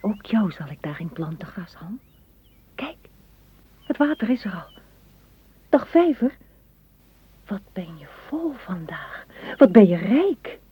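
An actress in a radio play playing a dreamy, tender woman gardener, speaking softly and poetically, often in a whisper. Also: mid-range voice, big pitch swings, takes it slowly, pauses often, husky, exaggerated voice. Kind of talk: radio drama acting. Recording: muffled, noisy old radio recording.

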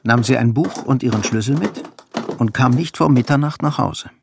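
A man giving measured, scripted literary narration for a radio drama. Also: mid-range voice, gravelly, noisy background. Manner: measured, storytelling. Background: faint music.

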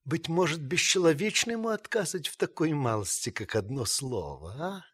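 An actor playing a reflective, observant French uncle who narrates his wartime memories in a radio drama, in a measured, literary way. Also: fairly high pitch, big pitch swings, fairly bright tone, husky, exaggerated voice. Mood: troubled.

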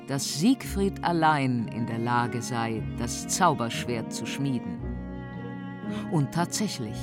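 A narrator of a fantasy audio drama with a mid-pitched voice. The delivery is slow and dramatic, like scripted storytelling, with music clearly playing underneath. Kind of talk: scripted radio play narration.

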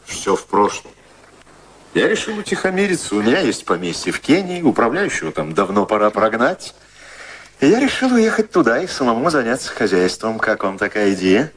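An actor playing a suave, self-assured rake who teases and woos in a radio drama. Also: male voice, medium pitch, very animated, fairly quick, slightly rough. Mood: teasing, confident. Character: teasing, self-assured charming rake wooing a woman.

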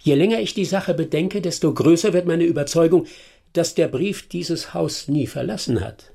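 An actor playing a self-assured, enigmatic detective with a measured, dry manner in a German radio drama. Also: male voice, a little high, vocal fry, dark tone. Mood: calm, assured.

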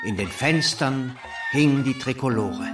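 A man's voice: medium pitch, animated, unhurried, close to an everyday voice. An actor playing a busy, self-important small-town man in a radio play, speaking in an officious, declamatory and formally courteous way. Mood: proud, declamatory. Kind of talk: German radio play acting. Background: loud music.

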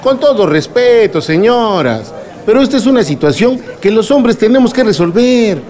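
A man's voice: sits high, lively intonation, moderate pace, darkish tone, a faint crowd. A man playing a dismissive, domineering village man in a radio drama, talking with scornful condescension. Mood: stern.

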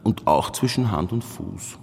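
Shady windbag in echoing reverb. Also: male voice, sits low, lively intonation, measured pace, creaky, a little mellow, slightly rough, exaggerated voice. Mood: self-important. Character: shady windbag good-for-nothing son, self-styled investor and inventor. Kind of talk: radio crime drama acting. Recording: long hall reverb, as in flashback.

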